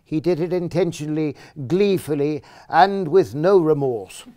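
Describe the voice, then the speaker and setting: An actor playing a wry, exasperated biographer, speaking in a deliberate, pompous, drily ironic theatrical monologue with hesitations. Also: male voice, fairly high pitch, warm tone, no room sound, natural voice. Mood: mock exasperation. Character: wry, exasperated male biographer addressing his old friend. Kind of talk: theatrical monologue reading a letter aloud.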